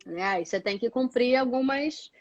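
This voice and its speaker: Brazilian woman guest explaining stuff casually, highish voice, chatty, very dry sound. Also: normal speed, no room sound, muffled recording. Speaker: guest, doctoral student living in Portugal.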